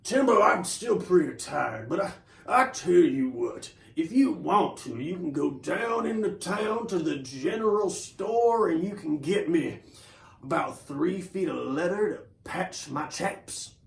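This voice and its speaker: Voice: animated, vocal fry. A teacher doing a drawling, sleepy cowboy. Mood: easygoing. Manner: slow, low, drawling voice. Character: sleepy easygoing male cowboy. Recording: roomy echo.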